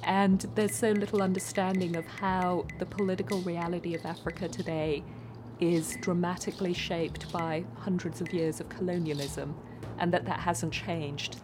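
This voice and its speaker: Low-voiced Kenyan-raised woman poet. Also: flat delivery, normal speed, noisy background. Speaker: poet and performer. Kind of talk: reflective interview talk.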